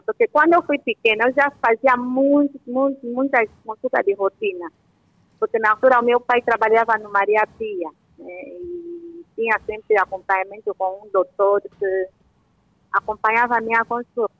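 Angolan woman in her late 20s calling into radio, high voice, muffled lo-fi phone sound. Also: dry recording. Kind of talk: casual personal account to a doctor. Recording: dull lo-fi phone line.

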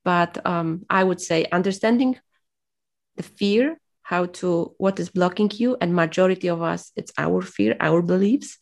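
A woman's voice: on the low side, measured pace, creaky. A woman whose first language is Polish, speaking as an interview guest in a reflective, motivational way, hesitant and full of fillers as she searches for words.

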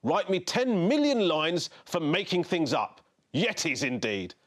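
A man's voice: fairly high pitch, very animated, normal speed, fairly bright tone, husky, full character voice. Man acting a stern, pompous head teacher. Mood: scolding.

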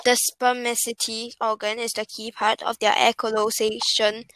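A woman with a fairly high voice reads facts aloud as a podcast co-host, halting and tripping over longer words. Her voice is close and dry, with no room sound. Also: little pitch movement, a bit bright, no room sound. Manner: halting reading, stumbles on words.

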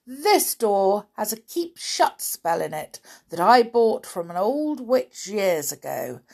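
A woman's voice: a little high, gravelly, pitched above the performer's own voice, heavily acted. A woman reading aloud and voicing a gloating, cross-eyed male giant who taunts his prisoners. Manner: taunting, gleeful.

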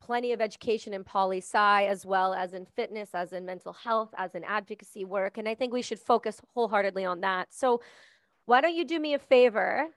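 A woman's voice: mid-range voice, a little fast, clean tone, no echo. Chatty Canadian woman host, dry sound. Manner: effusive, chatty, praising. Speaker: podcast host. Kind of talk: casual interview chat.

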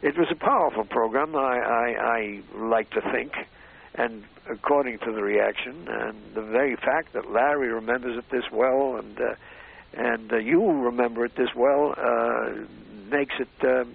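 A man reminiscing off the cuff in a hesitant, halting way, groping for words. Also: medium pitch, vocal fry, a little hiss or hum. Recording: phone-like, band-limited radio sound.

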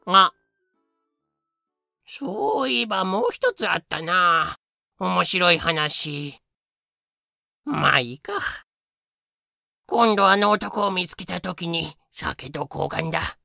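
An actor in a fan audio drama playing a grasping, chatty teller of pirate tales with rough, casual speech. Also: mid-range voice, expressive, slow pace, pauses often, sharp tone, dry recording, studio quality, soft background music, heavily acted. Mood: chatty, sly. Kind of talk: Japanese fan-made audio drama acting.

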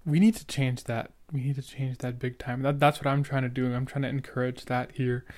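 A young man with a noticeable stutter talks through his thoughts in a casual, rambling way. He sounds earnest and leans on fillers as he comments on an old recording of himself. His voice sits at an ordinary pitch. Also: fairly even intonation, brisk, a little mellow. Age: young adult. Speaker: podcaster reacting to his own episode.

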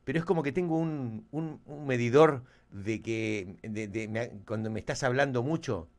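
A man's voice: medium pitch, normal speed. Rambling Argentine man telling a story. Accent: Argentine Spanish. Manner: rambling storyteller, many restarts and fillers. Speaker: co-host. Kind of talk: casual podcast chat, anecdote.

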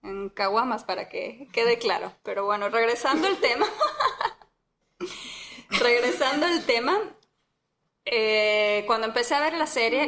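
Woman, podcast co-host, lively, reads quotes aloud. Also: a little high. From Mexico. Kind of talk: casual book chat.

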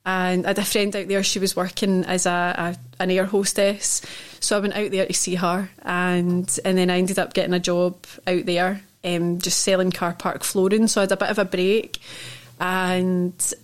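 A woman's voice: on the low side, level tone, moderate pace. A woman with a thick Scottish accent, a guest telling long rambling stories in a casual chat. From Scotland. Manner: chatty, rambling storyteller.

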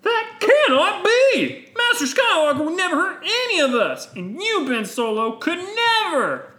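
An older Jedi woman, shrill and melodramatic. The voice swoops very high and pleads in alarm, stretching words out for comic-book drama. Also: big pitch swings, unhurried, pushed and bright, echoey room, exaggerated voice. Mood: shocked pleading.

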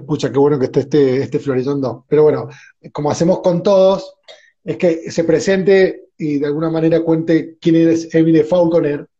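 A man's voice: a little high, mellow. A man hosting a live online interview, chatting casually and informally over a video call. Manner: informal, colloquial. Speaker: host of an online wine interview series. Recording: muffled video-call audio with music.

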